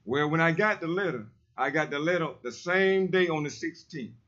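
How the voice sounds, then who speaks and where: Loud heavy-voiced man, emphatic. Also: a little high, animated, normal speed, muffled recording. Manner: emphatic, repetitive, preacherly insistence. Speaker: resident speaking at public comment. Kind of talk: public comment at a council meeting.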